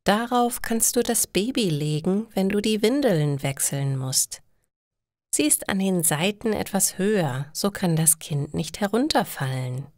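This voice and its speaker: A caring middle-aged mother, voiced by a woman narrator, gentle and practical. Her storybook lines are slow and encouraging, recorded dry and close. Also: mid-range voice, animated, no echo, natural voice. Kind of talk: acted dialogue in a slow learner's audio story.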